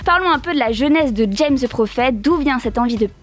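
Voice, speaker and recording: A woman hosting a radio interview in a studio, asking questions in a lively manner. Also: sits high, a little fast. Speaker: radio host.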